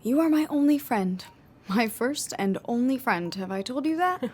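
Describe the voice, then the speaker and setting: A woman's voice: fairly high pitch, lively intonation, normal speed, darkish tone, slightly rough. Earnest, intense woman sculptor. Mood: eager, fervent. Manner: earnest, insistent, eager. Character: odd, intense female sculptor hungry for occult knowledge. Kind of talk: radio drama acting.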